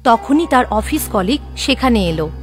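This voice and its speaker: A woman's voice: a little high, expressive, normal speed, faint music behind. A woman narrating a scripted horror story.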